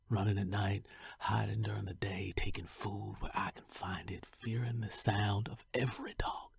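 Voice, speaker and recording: Guy acting as young escaped slave telling his story, really breathy n rough, low voice, weary but determined, historical drama narration. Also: dry recording, full character voice. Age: young adult. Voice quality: husky, gravelly, breathy. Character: young enslaved man, weary, determined, fleeing to freedom. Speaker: voice actor.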